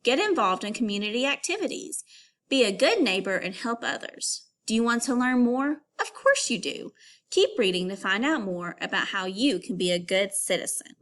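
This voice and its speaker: A woman teacher reading an informational text aloud to young children, pausing to give instructions and put questions to them. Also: fairly high pitch, sing-song, a bit bright.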